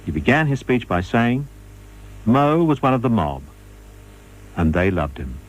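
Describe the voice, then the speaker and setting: A man's voice: medium pitch, big pitch swings, measured pace, poor audio. A man reading scripted narration for a radio documentary.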